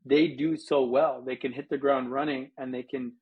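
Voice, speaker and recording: A man's voice: medium pitch, level tone, moderate pace, breathy edge, narrow, tinny audio. An American man from Colorado answering interview questions conversationally, with anecdotes, as a guest from a missions organization. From United States, Colorado.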